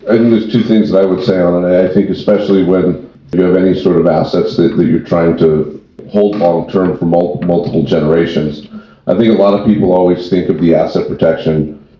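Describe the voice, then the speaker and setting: Man, deep-pitched, explanatory panel talk, restarted phrases, muffled echoey recording. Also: steady tone, brisk, slight background noise. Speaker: tax and wealth planning founder, panelist. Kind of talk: extemporaneous panel discussion. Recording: very echoey room, dull sound.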